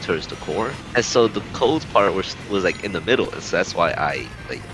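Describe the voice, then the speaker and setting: Young man with very animated delivery. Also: deep voice, a little fast. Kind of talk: casual joking chat among friends. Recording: music clearly behind the voice. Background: music.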